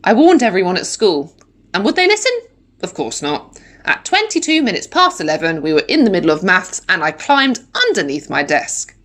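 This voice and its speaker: Animated woman reading a children's audiobook. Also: on the low side, normal speed. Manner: animated storytelling with dramatic emphasis. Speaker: primary school teacher reading an audiobook. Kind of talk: expressive children's audiobook narration in first person.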